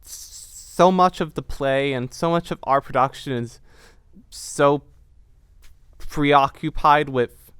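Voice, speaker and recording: A man's voice: on the high side, slow, long pauses, fairly bright tone, smooth, no echo. A young man talking reflectively in an interview, earnest and searching for words, often repeating himself. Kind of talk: reflective interview talk about a production.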